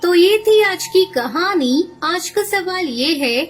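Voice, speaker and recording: A woman's voice: very high voice, lively intonation, slow pace. A woman giving scripted narration of a children's moral story.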